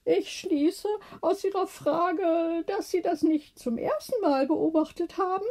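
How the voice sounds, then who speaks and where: Storybook role: curious, easily amazed woman performed by a female narrator, raised pitch, questioning delivery. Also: takes it slowly, darkish tone, full character voice. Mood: astonished. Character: curious, easily astonished woman watching her cat.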